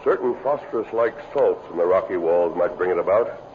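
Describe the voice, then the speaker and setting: An actor playing a calm, resolute masked western lawman in a 1950s radio adventure, speaking in formal, precise sentences. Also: sits low, a little fast. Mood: calm, explanatory. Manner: formal, precise, explanatory diction. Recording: muffled, noisy old radio broadcast recording.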